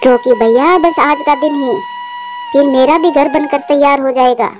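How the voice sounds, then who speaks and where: High-pitched kind little female bird, Tuntuni acted by a woman. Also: fairly even intonation, normal speed, over music, natural voice. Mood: earnest, gentle. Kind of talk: Hindi cartoon dialogue.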